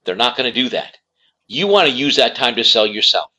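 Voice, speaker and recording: Guy doing career coach talk, bright punchy voice, medium pitch, really stresses key words. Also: sing-song, brisk. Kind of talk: instructional talk to camera.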